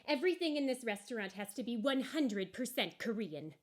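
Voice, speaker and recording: A woman's voice: a little high, animated, a little fast, voice pushed up. A woman voicing a rude, haughty female restaurant owner in a storybook, curt, emphatic and dismissive. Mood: haughty annoyance. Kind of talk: children's storybook character voice.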